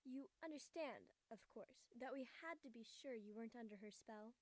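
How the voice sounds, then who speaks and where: Casting: regal mare princess for storybook reading, soft high voice, measured and commanding, close dry sound, played by a man. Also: dry recording. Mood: soothing. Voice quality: soft, feminine higher voice.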